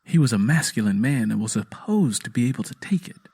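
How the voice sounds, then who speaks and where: A man's voice: medium pitch, expressive, a little fast, a little mellow, slightly rough. A man narrating a scripted dramatic story as its storyteller.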